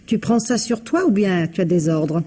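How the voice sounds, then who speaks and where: A woman's voice: medium pitch, expressive, moderate pace, warm tone, husky. A woman acting as an inquisitive, sceptical confidante in a French radio mystery, questioning in a familiar, challenging way. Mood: curious, sceptical.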